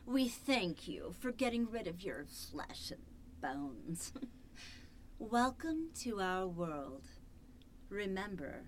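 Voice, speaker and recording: A woman playing a cold, controlling artificial intelligence in a horror radio drama, measured, commanding and possessive. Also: on the low side, a little hiss or hum. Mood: gloating. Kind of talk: old-time radio horror acting.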